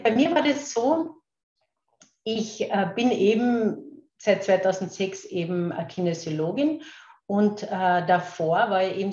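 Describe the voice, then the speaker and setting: A middle-aged woman from Carinthia, Austria, with a Carinthian accent, speaking slowly and haltingly as an interview guest over a video call. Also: mid-range voice, darkish tone. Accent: Carinthian Austrian German. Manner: slow, halting, searching for words. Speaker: guest, kinesiologist. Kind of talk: casual interview talk. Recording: roomy, dull remote call audio.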